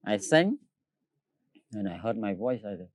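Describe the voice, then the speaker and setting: A Cambodian man who speaks Khmer as his first language and sings opera tenor, answering an interview in halting English with many fillers and frequent laughs. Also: lowish voice, very animated, no echo, clean studio sound.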